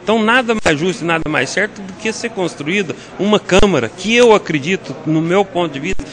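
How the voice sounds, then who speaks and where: Quick insistent Brazilian man in a suit. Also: high-pitched, expressive, fairly bright tone. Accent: Brazilian Portuguese. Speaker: city councilman. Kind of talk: interview answer. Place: council chamber indoors. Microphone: handheld reporter mic.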